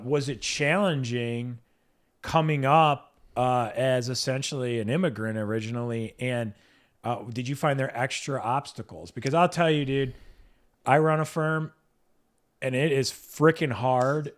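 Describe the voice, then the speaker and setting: A man's voice: medium pitch, a little slow. A middle-aged man hosting a podcast interview, a trial lawyer himself, speaking casually and conversationally with a lot of "you know"s. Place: podcast studio.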